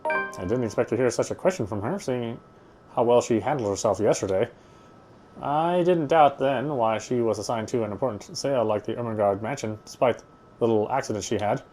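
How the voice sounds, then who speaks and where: A man's voice: medium pitch, a little fast, a little mellow, slight background noise. A man narrating a visual novel as he plays it, reading the prose aloud with casual asides.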